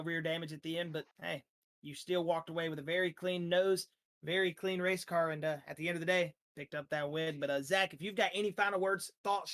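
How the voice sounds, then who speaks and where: High-voiced, hoarse broadcaster man. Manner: energetic, rambling, drawn-out fillers. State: losing his voice. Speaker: sim racing league broadcaster. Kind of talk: post-race driver interviews.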